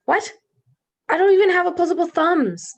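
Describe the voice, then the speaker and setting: A woman with a creaky voice playing a talking dog who was once a young woman, in a script table read. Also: very high voice, expressive, normal speed. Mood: indignant.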